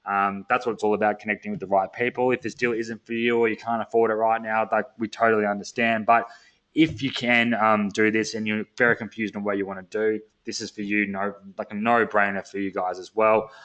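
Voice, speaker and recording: A man's voice: on the low side, flat delivery, brisk, a bit bright. A young man hosting an online sales webinar, speaking casually and upbeat, with plenty of ums and hype. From Australia. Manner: upbeat, filler-heavy, hype-driven. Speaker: webinar host.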